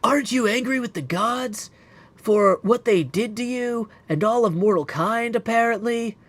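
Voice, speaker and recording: A man's voice: very high voice, normal speed. A man voicing an earnest young woman, a caring but forthright witch in a video game, speaking emphatically. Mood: indignant. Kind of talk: video game character acting.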